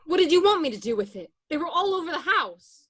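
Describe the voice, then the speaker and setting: A woman's voice: very high-pitched, sing-song, quick pace. A woman playing a mobster's anxious, defensive wife in an amateur read of a crime film script. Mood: defensive, frantic.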